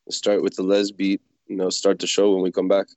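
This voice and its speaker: A man's voice: low voice, monotone, fairly quick, darkish tone, dry recording. A man from Quebec with a French Canadian accent, co-hosting a casual show. He jokes around and stumbles over repeated words as he starts his sentences. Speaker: co-host. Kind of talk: casual chat.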